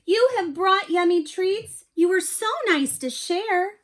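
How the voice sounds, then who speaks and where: A woman's voice: very high voice, slow, fairly bright tone, voice pushed up, exaggerated voice. A woman voicing a gloomy storybook bear who sighs that he has nothing to share. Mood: sad. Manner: sighing, downcast.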